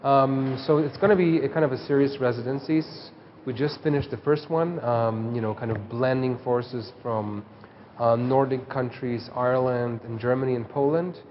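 A man's voice: medium pitch, steady tone, moderate pace, a little hiss or hum. Guy in his thirties, festival director, lots of ums, street outside, kinda dull sound. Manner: hesitant, many ums. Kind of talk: interview. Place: outdoors on a city street.

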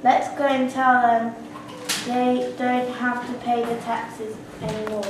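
Schoolgirl as a high-voiced medieval lord. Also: level tone, slow pace. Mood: uneasy. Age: schoolgirl, about 12. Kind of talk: amateur school puppet play. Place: school classroom. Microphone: distant camera. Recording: echoey noisy classroom from far off.

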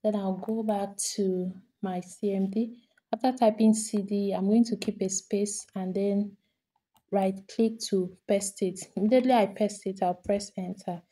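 A woman talking through a screen-recorded software tutorial, step by step, repeating each action as she does it. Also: medium pitch, fairly even intonation, measured pace. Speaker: tutorial host.

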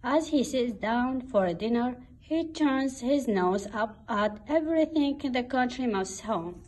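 Slow-paced woman reading to children. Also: fairly high pitch, lively intonation, darkish tone, poor audio. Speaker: teacher reading a picture book. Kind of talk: read-aloud storytelling for children.

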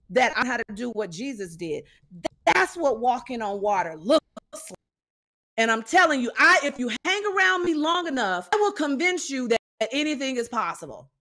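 A woman with a fairly high voice speaks with emphatic, preacherly conviction. Her talk is inspirational and driven. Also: fairly bright tone. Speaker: podcast guest.

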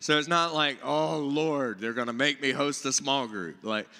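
A man's voice: mid-range voice, moderate pace, bright, forceful tone. A man in his forties, a pastor giving an earnest, informal, conversational Bible teaching from a stage. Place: stage of a church auditorium with seated audience. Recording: reverberant large-hall stage sound.

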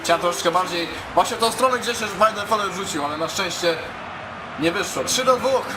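A man doing excited live gameplay commentary, full of exclamations and repeated cries. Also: sits high, brisk, background noise. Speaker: gaming YouTuber.

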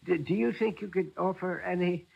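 A man's voice: high-pitched, normal speed, creaky, husky, full character voice. An actor playing an irascible old grandfather, stammering and blustery, in a 1940s radio drama. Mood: flustered, apologetic. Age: older. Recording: muffled 1940s radio recording.

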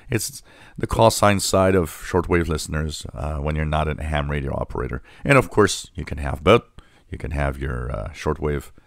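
Male hobby channel host, deep voice, informal and halting conversational delivery, dry sound. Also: no echo. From Canada. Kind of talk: casual screen-share explainer.

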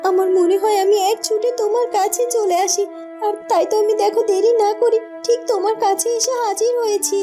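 A woman's voice: extremely high pitch, fairly even intonation, a little fast, over music. A woman voicing a sweet, devoted young mermaid, tender and earnest, in a melodramatic cartoon fairy tale. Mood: loving.

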